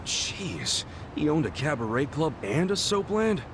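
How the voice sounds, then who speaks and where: A man's voice: a little high, big pitch swings, a little slow, background noise. An actor playing an earnest, outspoken, good-natured man in an English video game dub, casual and direct. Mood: surprised.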